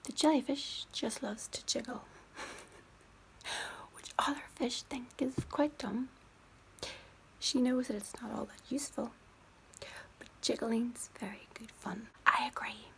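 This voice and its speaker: A woman's voice: fairly high pitch, steady tone, a little slow, a little hiss or hum, poor audio. A woman from Ireland, whispering a children's book aloud for ASMR and lingering over repeated words close to the mic. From Northern Ireland. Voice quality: soft whisper. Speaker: ASMR creator. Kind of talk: whispered ASMR storybook reading.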